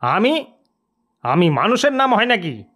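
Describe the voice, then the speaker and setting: A man voicing a pompous, suspicious and superstitious village police officer in an audio story, gruff and blustering in manner. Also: high voice, sing-song, measured pace, a bit bright, voice pushed up, exaggerated voice. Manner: gruff, grave-toned, blustering. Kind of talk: dramatised audio storybook character.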